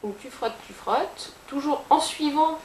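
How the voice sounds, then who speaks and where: A woman's voice: sits high, a little slow, a little mellow. Playful chatty woman, echoey room. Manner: chatty and playful, with sound effects and jokes. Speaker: beauty vlogger. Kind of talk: casual makeup tutorial. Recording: echoey room with faint hiss.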